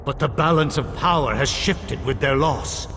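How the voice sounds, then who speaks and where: An actor playing a solemn, formal servant of a dark god in a video game cutscene, speaking with deference. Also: male voice, medium pitch, normal speed, noisy background, lightly acted. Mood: solemn, deferential. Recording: cavernous long reverb over music.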